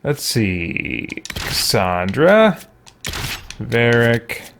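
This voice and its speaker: A man's voice: mid-range voice, big pitch swings, extremely slow, noisy background. A man giving casual live let's-play commentary, rambling and thinking aloud as a game streamer.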